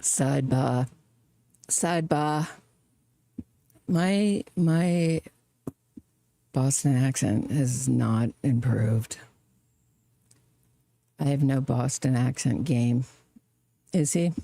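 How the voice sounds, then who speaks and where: A woman hosting casual, chatty true crime commentary on a trial, sardonic and quick to laugh at her own asides. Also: a bass voice, vocal fry, mellow, dry recording.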